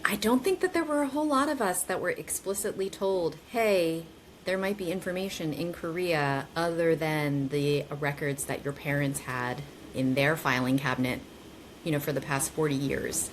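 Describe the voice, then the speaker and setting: A woman's voice: low voice, a little hiss or hum, low-quality recording. A woman in her forties, a guest chatting casually and animatedly with rambling asides. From United States. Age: 40s. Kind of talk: casual conversation.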